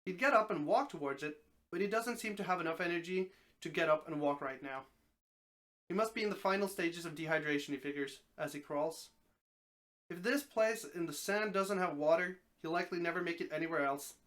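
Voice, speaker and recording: A mid-range voice reads a story aloud in a live, dramatic style. The narration is expressive, and the reader now and then trips over a phrase and takes it again. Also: medium pitch, a little fast. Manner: expressive narration, occasional stumbles and repeated phrases. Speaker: streamer reading a story aloud.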